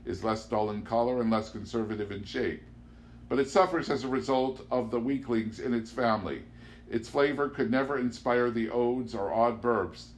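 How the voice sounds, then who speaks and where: A man reading a literary novel aloud in an expressive storytelling style. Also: lowish voice. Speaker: audiobook reader. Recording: clear background noise with hum.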